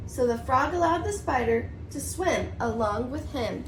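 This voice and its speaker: A woman preschool teacher reading a storybook aloud to young children in an expressive, rhythmic sing-song. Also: high-pitched, measured pace. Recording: distant mic, roomy echo, faint noise.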